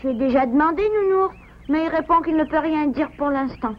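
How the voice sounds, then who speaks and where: A very high, childlike cartoon beaver boy performed by a woman, earnest and devoted. The sound is slightly dull, with faint noise behind it. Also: brisk, close to an everyday voice. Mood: worried. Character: earnest young boy beaver, worried about his father. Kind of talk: cartoon dub acting.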